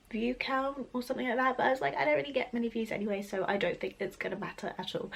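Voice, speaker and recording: A young woman chatting casually through a makeup tutorial, her voice a little croaky from a cold, rambling and laughing easily. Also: mid-range voice, fairly bright tone. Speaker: beauty vlogger.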